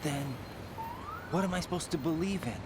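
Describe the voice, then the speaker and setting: A man's voice: on the high side, normal speed, noisy background, natural voice. An actor playing a young male soldier who sounds hesitant and questioning, searching for his own path. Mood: uncertain. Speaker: video game character. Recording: game cutscene with loud music under the voice.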